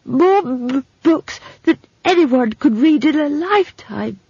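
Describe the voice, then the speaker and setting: A woman performs a lanky apprentice wizard lad from a storybook, pitched high and earnest. He stutters heavily on consonants and gulps for air between halting phrases. The audio is slightly dull. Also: animated, a little mellow, dry recording, exaggerated voice. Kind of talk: audiobook character voice.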